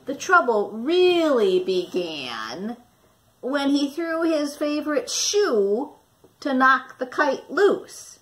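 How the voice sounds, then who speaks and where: A woman with a high voice reads a picture book aloud to children. Her pitch swings in a lively way as she leaves dramatic pauses and puts questions to her young listeners.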